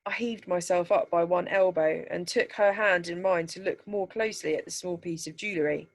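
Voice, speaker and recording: A woman narrating a scripted short story aloud in a measured, deliberate way, like a teacher reading to pupils. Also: fairly low pitch, steady tone.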